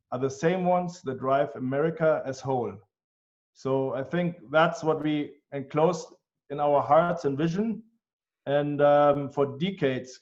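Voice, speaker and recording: A man with a German accent, answering interview questions in an earnest, measured way with frequent ums. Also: fairly high pitch, a little mellow. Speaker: guest, healthcare tech executive. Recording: dull, lo-fi sound.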